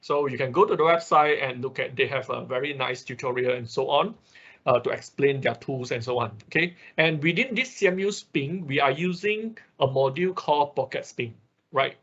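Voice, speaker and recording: A man who is a non-native English speaker with an accent, teaching an informal online technical class in a husky voice, explaining step by step with frequent check words. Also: mid-range voice, lively intonation, moderate pace, fairly bright tone. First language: not English. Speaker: instructor. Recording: dull, lo-fi online class audio.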